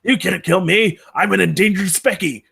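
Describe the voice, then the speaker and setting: A man's voice: high voice, normal speed, gravelly, sharp tone, slightly rough, dry recording, pitched above the performer's own voice, full character voice. A man playing an indignant but sweet, blunt Bigfoot at a screenplay table read. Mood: indignant protest. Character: indignant, sweet Bigfoot, a big wild male sasquatch.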